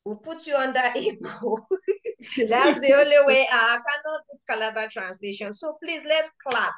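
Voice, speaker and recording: A woman's voice: sits high, normal speed. Chatty woman hosting a video call. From Nigeria. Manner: chatty and excitable, repeats words when starting sentences. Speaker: lecture chair and chief speaker. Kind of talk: casual hosting of an online lecture.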